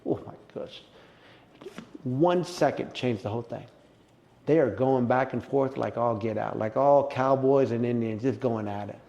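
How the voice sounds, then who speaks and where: A middle-aged man with a mellow, gravelly voice, talking jiu-jitsu in a relaxed interview. He tells his stories with plenty of feeling and jumps up in pitch and volume when something excites him. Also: unhurried, highs cut off. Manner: animated, emotional storyteller who gets loud and high when excited. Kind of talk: casual podcast interview.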